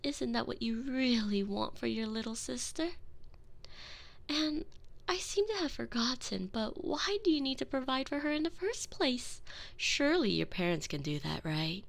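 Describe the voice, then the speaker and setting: A seductive female vampire mistress, voiced by a woman in an intimate binaural audio roleplay. She talks slowly and toys with her servant, her pitch rising and falling in lively swoops. Her voice carries a gravelly fry and often sinks to a hushed whisper. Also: full character voice. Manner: teasing, manipulative, slips into soft whispers. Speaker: voice actress in a one-sided audio roleplay.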